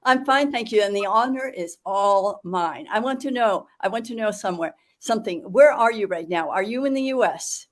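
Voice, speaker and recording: An older woman hosting an interview over a video call, chatty and effusive, filling pauses with fillers and correcting herself. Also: mid-range voice, animated. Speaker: interview host. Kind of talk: casual interview chat.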